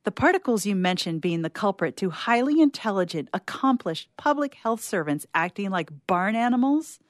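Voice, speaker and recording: A woman's voice: medium pitch, big pitch swings, normal speed, dry recording, studio quality, lightly acted. A woman playing an angry female prosecutor who questions a witness formally and accusingly in a short-story reading. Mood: angry, incredulous.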